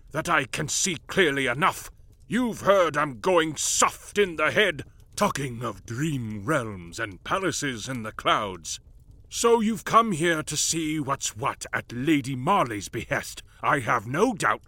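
A man voicing a gruff, bitter old lord who speaks slowly with a husky growl. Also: mid-range voice, pushed and bright, no echo, pitched above the performer's own voice, full character voice. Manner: slow, growling delivery.

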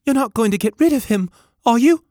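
A man's voice: very high-pitched, very animated, normal speed, no room sound, studio quality, voice pushed up. A man voicing a lonely pleading young boy. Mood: upset. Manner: pleading, ungrammatical country speech.

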